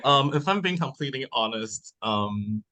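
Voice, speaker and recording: A man's voice: mid-range voice, lively intonation, normal speed, crowd noise. A man from Taiwan, a translator on a panel, answering shyly with hedges and fillers. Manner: shy, hedging, with nervous fillers. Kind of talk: conversational answer in a panel discussion.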